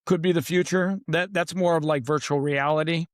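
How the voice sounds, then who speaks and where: Emphatic man with a higher voice. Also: steady tone, moderate pace, dry recording, clean studio sound. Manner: emphatic, confident, repeats "literally". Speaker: podcast guest, author. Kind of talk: casual podcast interview.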